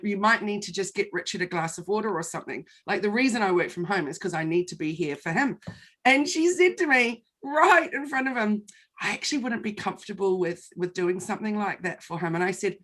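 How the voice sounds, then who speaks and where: A woman in her forties, a guest in a conversation, telling personal stories in an animated, expressive way. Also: mid-range voice.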